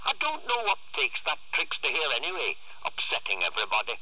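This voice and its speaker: A man's voice: fairly high pitch, huge pitch swings, brisk, no room sound, muffled recording, voice pushed up, full character voice. A man voicing a fierce, exasperated farm mother who snaps and scolds, in a storytelling reading. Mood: irritated. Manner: sharp, snapping.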